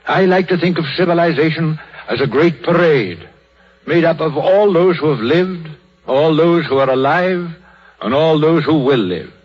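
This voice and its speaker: A fatherly old clergyman with a British accent and a husky voice. He speaks in measured, solemn phrases, like gentle preaching, on a dull 1930s radio drama recording. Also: a little high, animated. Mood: solemn, philosophical. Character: crippled old minister, gentle, philosophical, fatherly.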